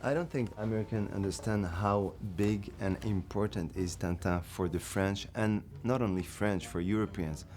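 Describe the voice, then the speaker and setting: Animated actor from Morocco. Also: male voice, fairly low pitch, normal speed, hardly any pauses, slight background noise. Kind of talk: documentary interview.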